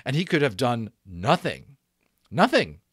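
A man in his thirties reading a novel aloud as dramatic narration, with deliberate pauses and stressed repeats, close to a microphone. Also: mid-range voice, sing-song, fairly bright tone, breathy edge, dry recording. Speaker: audiobook reader. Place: small room at home. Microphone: desk microphone close in front.

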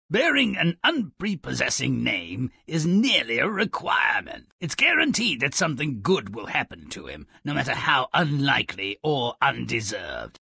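A man voicing a mischievous, cynical dwarf storyteller in a video game, with a raspy, theatrical, sarcastic delivery that mocks fairy tales. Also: on the high side, bright, forceful tone, no echo, clean studio sound, heavily acted. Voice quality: raspy, gravelly. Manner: sarcastic, gleeful, exaggerated delivery. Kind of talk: theatrical sardonic storybook narration.

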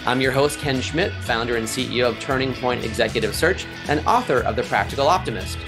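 A man's voice: mid-range voice, quick pace, music in the background. A man hosting a podcast, upbeat and chatty, reading a scripted intro then interviewing a guest. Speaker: podcast host.

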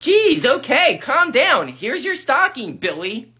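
A woman's voice: high-pitched, big pitch swings, slow, sharp tone, breathy edge, pitched below the performer's own voice, full character voice. A woman voicing a stern, impatient mother who scolds her kids in a comedy skit. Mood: curt. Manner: curt, scolding. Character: stern, impatient mom, dismissive.

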